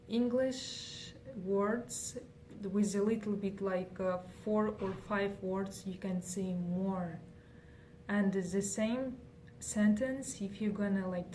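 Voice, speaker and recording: A young woman with a Kazakh accent speaks slowly and haltingly, pausing often on fillers as she searches for words. Her voice is mellow and soft. She sits a little back from the microphone, with faint noise behind her. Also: mid-range voice. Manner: hesitant, many fillers and restarts. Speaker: interviewee. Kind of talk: casual interview answers. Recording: faint noise, distant from mic.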